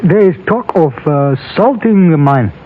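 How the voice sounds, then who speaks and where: A courteous Chinese merchant in an old radio Western, with a high voice and a Chinese accent. His phrasing is formal, trusting and measured. The recording is dull and muffled. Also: animated. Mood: polite, puzzled. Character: courteous, trusting older Chinese storekeeper and mine owner. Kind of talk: old-time Western radio drama acting.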